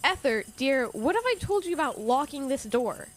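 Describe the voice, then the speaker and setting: A woman's voice: high voice, very animated, normal speed, heavy background noise. A woman playing a caring but stern college professor in an audio drama, proper and motherly. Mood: gently scolding.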